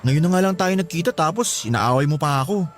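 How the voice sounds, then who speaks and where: A man acting an earnest, expressive young student in love with his girlfriend in a Tagalog radio drama. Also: a little high, quick pace, natural voice. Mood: hurt, pleading. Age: young adult. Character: smitten, earnest young student suitor, pleading boyfriend.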